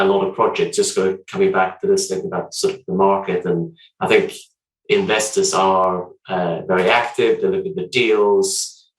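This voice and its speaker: A man from Sweden with a Swedish accent, a guest talking through his work in a measured, hesitant way with frequent restarts. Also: low-pitched, echoey room, recorded from a distance, rough recording. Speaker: hotel development director, podcast guest. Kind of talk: casual interview chat.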